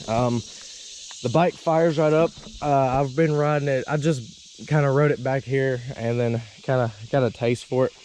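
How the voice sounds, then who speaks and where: Hoarse man rambling outdoors. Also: medium pitch, a little mellow, a little hiss or hum, low-quality recording. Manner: rambling, lots of uh and um. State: slightly hoarse with a cold. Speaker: dirt bike vlogger. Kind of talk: casual vlog walkthrough.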